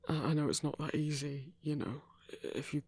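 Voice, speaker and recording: Casting: male werewolf hunter acted for audio roleplay. Gentle, awkward, apologetic, halting; husky, narrow in inflection. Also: mid-range voice, steady tone, no room sound. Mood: reassuring, hesitant. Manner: hesitant, halting, apologetic, stammering. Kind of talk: intimate ASMR audio roleplay.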